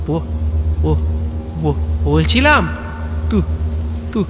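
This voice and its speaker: An excitable, pleading sidekick from a comic audio story, acted out by a man. He stutters heavily, sticking on syllables in a high voice. The sound rings with long reverb over some background noise. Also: extremely slow, full character voice. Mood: exasperated, pleading. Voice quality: heavy stutter. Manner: gets stuck repeating syllables. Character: stammering, excitable young sidekick pleading to be heard.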